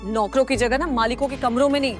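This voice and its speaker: An actress plays a haughty, angry young rich woman in an audio drama. She snaps out her contempt at high pitch and high speed, with music behind her. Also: steady tone, quick pace, close to an everyday voice. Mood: scornful, angry. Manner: shouted scorn, snappish.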